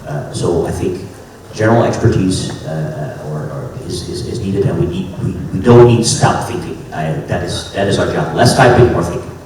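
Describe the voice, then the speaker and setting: Deep-voiced man on a conference panel. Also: moderate pace, darkish tone, slight background noise. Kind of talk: panel discussion. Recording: very echoey hall, distant mic, faint ambience.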